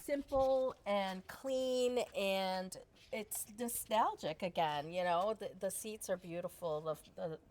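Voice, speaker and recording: A woman's voice: medium pitch, slow, hardly any pauses. A woman giving her casual impressions as a guest in an unscripted chat.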